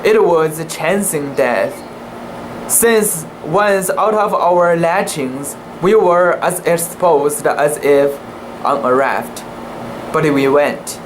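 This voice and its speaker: Amateur narrator, slow deliberate dramatic reading, mid-pitched, halting delivery, background hum. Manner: deliberate, halting delivery with stumbles over words. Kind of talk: dramatic reading of a classic sea story, first-person narration.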